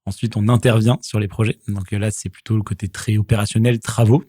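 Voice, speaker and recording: A man's voice: lowish voice, flat delivery, brisk, mellow, breathy edge. A man in his thirties, originally from southwest France, talking in a casual interview, full of hesitations and restarts. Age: 30s. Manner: hesitant, many fillers and restarts. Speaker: guest, company founder. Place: podcast studio.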